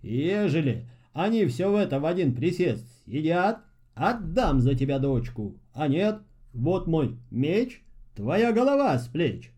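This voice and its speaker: A man's voice: a little high, sing-song, unhurried, dark tone, husky, heavily acted. A man playing a crafty, imperious fairy-tale tsar with a pompous, commanding manner. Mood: pompous, threatening. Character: imperious, crafty old-tale tsar giving threatening orders.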